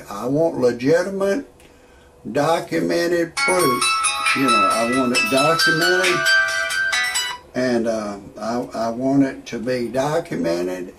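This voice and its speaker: A man in his sixties talking in a rambling monologue with stand-up style jokes, halting often on fillers and restarts. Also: medium pitch, a bit bright, slight background noise. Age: 60s. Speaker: amateur online show host.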